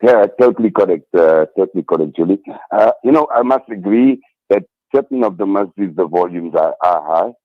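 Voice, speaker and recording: Deep man on tinny phone. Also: lively intonation, a little fast, few pauses, no echo. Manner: earnest, repeats words and phrases. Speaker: interview guest, local community representative. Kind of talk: phone interview. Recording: tinny narrow-band phone line.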